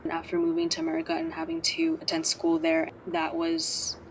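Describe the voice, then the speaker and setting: A young woman who grew up in China and New York, speaking in a measured, thoughtful way in a documentary interview. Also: low voice, sing-song, a little hiss or hum, rough recording. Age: young adult.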